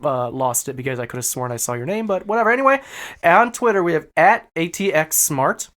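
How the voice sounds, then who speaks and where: A man's voice: fairly high pitch, animated, brisk, a bit bright. A man co-hosting a podcast in casual chat, joking in a loose way. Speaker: podcast co-host reading listener questions.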